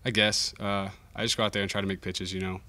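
A young man, a college baseball pitcher, giving modest, understated answers at a post-game press conference. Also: little pitch movement, fast, bright, forceful tone, a little hiss or hum. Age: young adult. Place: indoor press conference room. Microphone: table microphone.

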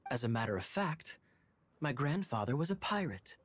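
An actor playing a sly, teasing knight captain. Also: male voice, medium pitch, sing-song, normal speed, lightly acted. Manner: smooth, theatrical, playfully mocking. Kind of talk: game cutscene dialogue.

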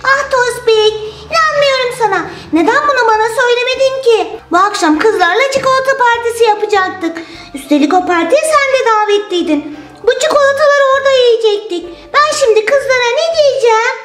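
A woman's voice: very high-pitched, expressive, normal speed, fairly bright tone. A woman voicing an exasperated little girl in a children's toy story, exclamatory and reproachful. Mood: upset, reproachful. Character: scolding little girl, exasperated friend of turtle. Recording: roomy sound with music underneath.

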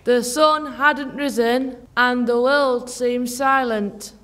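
Slow, high-voiced woman storyteller. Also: steady tone, fairly bright tone. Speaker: narrator. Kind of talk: scripted children's story narration.

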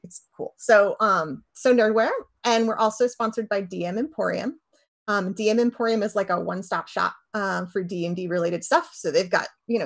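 A woman in her thirties hosting a casual livestream interview over a video call, chatty and rambling with lots of fillers. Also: medium pitch. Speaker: podcast host.

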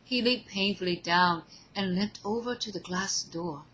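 A woman reading fan fiction aloud as an expressive story narrator. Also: medium pitch, measured pace, dull audio, slight background noise.

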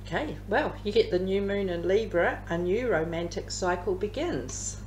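Chatty Australian woman with a croaky voice. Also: mid-range voice, moderate pace, a little mellow. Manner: chatty, rambling, with many ums. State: slightly croaky voice. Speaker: tarot reader. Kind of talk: casual tarot card reading. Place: living room at home. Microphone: camera across the table. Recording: echoey room with background hum.

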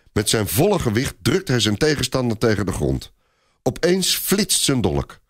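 Very animated male storyteller, lowish voice. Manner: theatrical, suspenseful. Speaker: narrator. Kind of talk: dramatic scripted storytelling.

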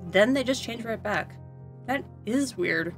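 A woman's voice: on the high side, animated, unhurried, soft background music. A woman co-hosting a scripted commentary on a cartoon, in conversational banter. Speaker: co-host.

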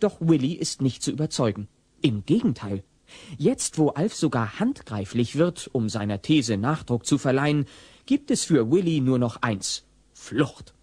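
Husky male storyteller, dry sound. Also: medium pitch, very animated, unhurried, a little mellow, no echo. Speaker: narrator. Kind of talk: scripted audio drama narration.

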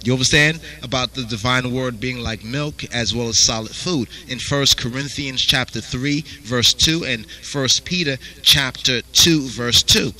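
A man's voice: medium pitch, a little slow, few pauses, bright, forceful tone, rough recording. A man teaching a Bible study, reading verses aloud and asking "you understand?" after nearly every phrase. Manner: repeats "you understand?" constantly.

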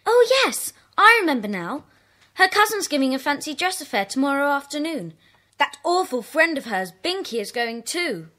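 An actress playing a chatty, observant young schoolgirl, chiding and expressive, in a children's audio drama. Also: high-pitched, moderate pace, exaggerated voice.

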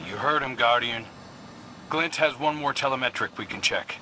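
A man playing a brooding, thoughtful warrior in a video game, speaking in a measured, grave manner. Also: medium pitch, pushed and bright, a little hiss or hum, rough recording. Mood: serious. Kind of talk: scripted video game dialogue.

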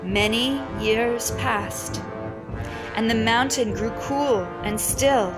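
A woman reading a children's picture book aloud like a storyteller, with measured, deliberate phrasing and pauses. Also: fairly high pitch. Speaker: read-aloud teacher and storyteller. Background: music behind the voice.

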